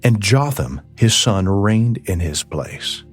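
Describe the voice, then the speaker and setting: Man, low voice, expressive intonation, scripted Bible narration, solemn and measured, soft ambient music behind. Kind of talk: scripted audiobook Bible reading.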